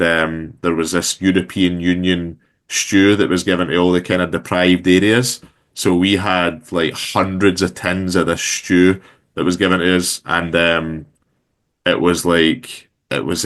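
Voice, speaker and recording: A man in his thirties with a Glaswegian Scottish accent talks as a guest on an interview. His voice sits very low. He rambles easily and fills his pauses with ums and uhs. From Scotland. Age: 30s. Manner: relaxed, rambling with many fillers. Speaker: guest entrepreneur. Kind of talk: casual interview chat.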